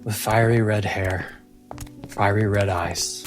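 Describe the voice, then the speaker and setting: A man with a lowish voice narrates a fantasy game as game master. He takes his time, leaving dramatic pauses, with music clearly behind him. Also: steady tone.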